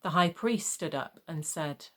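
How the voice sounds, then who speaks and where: A woman with a slightly low voice reads scripture as the narrator of a dramatised gospel. Her delivery is measured and formal, in the manner of careful storytelling. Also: animated, bright, forceful tone. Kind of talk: dramatised Bible reading.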